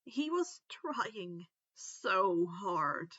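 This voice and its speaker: A woman's voice: medium pitch, big pitch swings, very slow, bright, forceful tone, husky, no room sound, lo-fi sound. A woman narrating fan fiction expressively, like an audiobook, stretching words for dramatic emphasis. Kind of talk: expressive scripted story narration.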